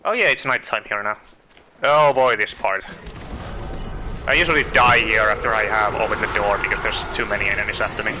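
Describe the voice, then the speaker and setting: A man with a Finnish accent giving casual, chatty commentary while streaming a game, thinking out loud and asking his viewers questions. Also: fairly low pitch, fairly even intonation, brisk, slight background noise. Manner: chatty, thinks aloud, asks viewers questions, self-correcting. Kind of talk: casual live gameplay commentary.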